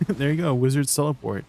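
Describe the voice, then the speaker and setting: Relaxed Canadian-raised male streamer, first language Tagalog, mid-pitched, chatty, laughs often. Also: normal speed, slight background noise. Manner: laughs often, relaxed, lots of ums while explaining. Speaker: game streamer. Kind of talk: casual live-stream chat and gameplay tips.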